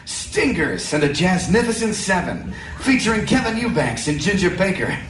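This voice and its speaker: A man's voice: sits high, big pitch swings, normal speed, slight background noise. A man playing a hapless, melodramatic male barfly in a comedic radio drama, earnest in delivery. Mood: earnest, awed. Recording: roomy, distant, loud music behind.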